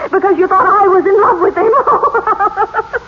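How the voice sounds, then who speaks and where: Scornful wronged wife, very high voice, dramatic radio melodrama, thin phone-like vintage sound. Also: female voice, takes it slowly. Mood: anguished scorn. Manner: dramatic, emotional delivery. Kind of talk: 1940s radio drama acting. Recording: tinny, noisy old radio broadcast.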